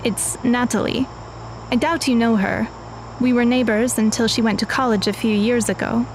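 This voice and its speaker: A composed, formal teenage girl in an audio drama, played by a woman. Her voice sits a little high. She is guarded and exact in her wording, with faint noise behind her. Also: steady tone, moderate pace, close to an everyday voice, rough recording. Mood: matter-of-fact. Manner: matter-of-fact, precise.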